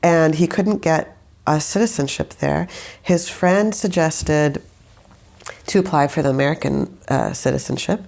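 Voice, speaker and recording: A young woman with a low, gravelly voice tells her story in an easy, unscripted way. She wanders through her thoughts, circling back with "like" and "I don't know" as she goes. Her tone stays relaxed and conversational. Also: a little slow. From Saudi Arabia. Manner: rambling, hesitant, many fillers and restarts. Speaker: guest, musician. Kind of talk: casual interview conversation.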